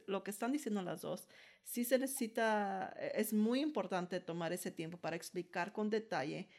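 A woman's voice: medium pitch, normal speed, darkish tone. A woman co-hosting a podcast as a dental hygienist, explaining patient care conversationally and slipping into English now and then. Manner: explains with many tag questions, mixes in English. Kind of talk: conversational interview.

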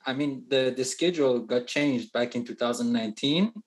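A man whose first language is Persian chats as a guest in a relaxed, joking way. He talks haltingly, full of fillers and restarts, at a middling pitch. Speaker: touring music producer, guest. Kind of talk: casual interview chat.

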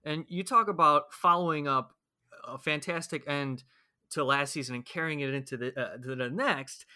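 Bright, higher-voiced male sports podcast host. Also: moderate pace. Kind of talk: conversational sports interviewing.

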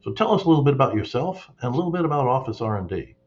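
A man's voice: mid-range voice, sing-song, racing, lo-fi sound. A man hosting a podcast interview, asking long rambling questions with fillers. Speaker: podcast host.

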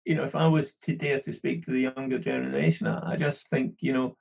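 A man's voice: mid-range voice, little pitch movement, a little fast, creaky, darkish tone. A middle-aged man with a Scottish accent, a podcast guest chatting casually and rambling with many restarts. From London. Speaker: podcast guest, studio manager. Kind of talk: casual interview chat.

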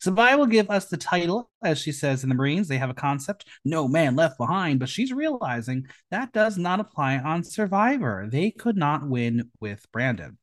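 A man's voice: a little high, sing-song, normal speed, few pauses. A man hosting a casual recap show, narrating events in a playful, theatrical way and tossing out questions. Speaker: podcast host. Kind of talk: casual episode recap commentary with rhetorical questions.

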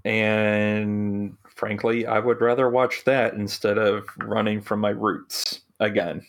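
Slow-spoken, low-voiced man chatting about films. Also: level tone, creaky. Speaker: podcast host. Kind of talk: casual film review chat.

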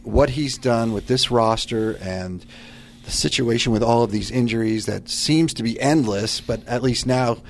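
A man's voice: medium pitch, normal speed, creaky. A man giving conversational sports commentary in an emphatic, articulate way.

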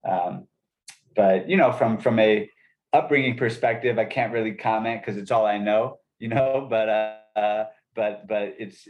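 Young guy guesting on a podcast, kinda low voice, dull lo-fi sound, lots of um and you know. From Montgomery County, Maryland, USA. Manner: rambling, many restarts and fillers. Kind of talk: casual interview chat.

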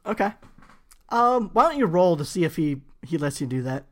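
A man's voice: fairly high pitch, very animated, normal speed. A man running a tabletop game, narrating casually with plenty of fillers and laughs. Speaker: game master.

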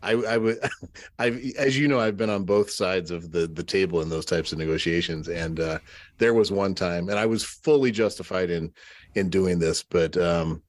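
A low-voiced man co-hosting a relaxed podcast. He teases his friends and tells stories with plenty of fillers. His tone stays easygoing throughout. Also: moderate pace, dry recording. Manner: jokey, teasing, storytelling with laughs and fillers. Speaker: podcast co-host. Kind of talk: casual podcast banter.

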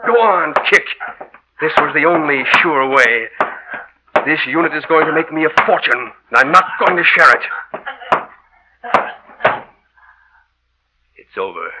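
An actor playing a cold-blooded, greedy murderer with melodramatic gloating in a vintage radio drama. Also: male voice, fairly high pitch, expressive, moderate pace, natural voice. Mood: triumphant. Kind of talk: 1940s radio drama acting. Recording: dull, lightly noisy old radio recording.

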